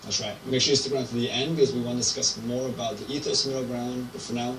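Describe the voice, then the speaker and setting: A man in his twenties hosting a debate video, upbeat and quick to laugh. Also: mid-range voice, fairly even intonation, noisy background. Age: 20s. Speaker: video show host. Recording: distant echoey hall with music.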